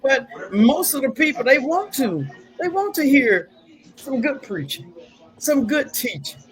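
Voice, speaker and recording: A woman's voice: sits high, big pitch swings, measured pace, low-quality recording. A woman hosting a talk show, telling stories and preaching scripture in a folksy, casual way.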